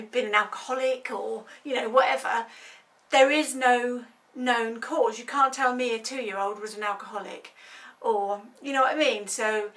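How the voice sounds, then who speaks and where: A woman's voice: fairly high pitch, pushed and bright, distant mic. A woman in her thirties speaking as a health advocate, explaining an illness in an earnest, conversational way with many hesitations. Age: 30s. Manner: earnest, conversational with frequent ums. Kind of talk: informal explainer talk.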